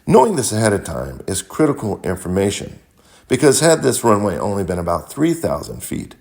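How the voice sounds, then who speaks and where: A man who works as a pilot and mentor, narrating a scripted explanation in a measured, instructional manner. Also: on the low side, wildly swooping pitch, creaky, darkish tone. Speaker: pilot and flight mentor, video host.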